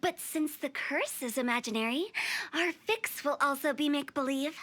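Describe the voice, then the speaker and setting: An actress playing a confident, theatrical young female exorcist in an anime video game, speaking with brisk assurance. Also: high-pitched, fairly bright tone. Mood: frank, explaining.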